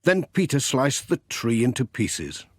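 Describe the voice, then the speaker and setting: A man narrating a children's story as an audiobook reader, with expressive storytelling delivery. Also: mid-range voice, unhurried, husky, no echo, clean studio sound.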